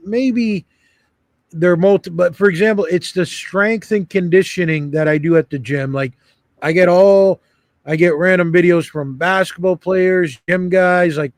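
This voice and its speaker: A man's voice: fairly high pitch, no echo. A man on a group call, talking in an earnest, reflective and meandering way. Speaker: call participant. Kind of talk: casual group chat.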